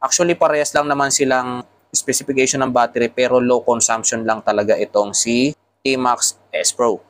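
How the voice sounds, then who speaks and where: A man's voice: medium pitch, steady tone, normal speed. A man with a Filipino accent giving a casual product tutorial, explaining things step by step as a motovlogger. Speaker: motovlogger reviewing motorcycle intercoms.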